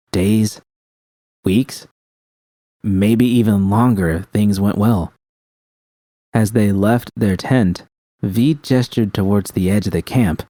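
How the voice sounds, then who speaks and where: Casting: male audiobook-style narrator, low mellow voice, scripted fiction, very dry recording. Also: no echo.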